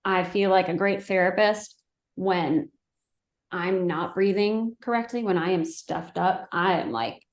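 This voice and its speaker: A woman, a speech therapist guesting on a podcast, speaking earnestly in quick bursts. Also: on the low side. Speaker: guest, speech-language pathologist. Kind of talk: casual podcast conversation. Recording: echoey room.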